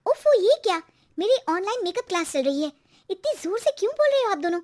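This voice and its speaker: Woman voicing a high-pitched, excitable young wife. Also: fast talker. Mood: irritated. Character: Shweta, chatty, excitable young married daughter-in-law.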